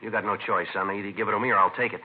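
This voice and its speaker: Low, clipped radio police detective. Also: male voice, steady tone, rapid-fire, slightly rough. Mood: firm, flat. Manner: terse, matter-of-fact, authoritative. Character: stern, clipped, no-nonsense male police detective. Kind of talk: 1950s radio drama acting and narration. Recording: muffled, noisy vintage radio recording.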